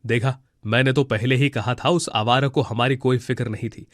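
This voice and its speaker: Man playing elderly father, stern, reproachful, medium-pitched, dry recording, cartoon moral tale. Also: steady tone, normal speed, no room sound, natural voice. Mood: bitter. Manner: scolding, reproachful. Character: stern, indignant elderly village husband and father. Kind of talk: cartoon moral story voice acting.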